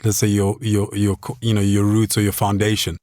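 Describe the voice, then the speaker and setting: A man in his forties from Southern Africa hosts a podcast and asks reflective questions. He speaks slowly and hesitantly, and he often restarts before he finishes a thought. His voice is low, and the sound is close and dry. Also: monotone, a little mellow, no echo. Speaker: podcast host.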